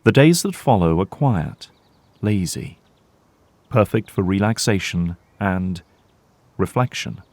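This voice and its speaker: A man narrating an audio drama from a script in a measured, dramatic storytelling manner. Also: sits low, lively intonation, darkish tone, exaggerated voice.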